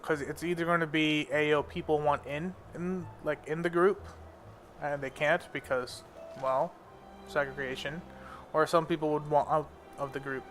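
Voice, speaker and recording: A man's voice: a little high, fairly even intonation, moderate pace, fairly bright tone. A young man who grew up in India, chatting casually and bluntly in informal slang. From born in America, grew up in India. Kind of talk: casual chat. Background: music.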